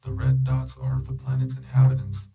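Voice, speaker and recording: Sci-fi scanner AI, played by a man: measured, informational, utterly level pitch, gravelly edge. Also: completely flat delivery, warm tone, highs cut off, soft background music. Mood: calm, advisory. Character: calm robotic scanner AI companion, advising its owner. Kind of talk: scripted sci-fi audio story.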